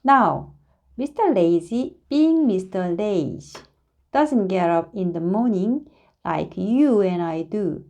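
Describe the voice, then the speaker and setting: A woman reads a storybook aloud to young listeners. Her voice is soft-edged and mellow, with very animated pitch. She takes her time, shaping each phrase deliberately and dramatically. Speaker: storybook reader. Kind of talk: expressive read-aloud of a children's story.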